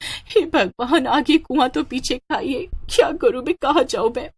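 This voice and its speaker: Woman playing an indignant, high-pitched young woman passenger. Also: measured pace, breathy edge, heavily acted. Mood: panicked. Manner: loud, scolding, urgent. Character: indignant, frightened young woman passenger standing her ground. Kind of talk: horror story character voice.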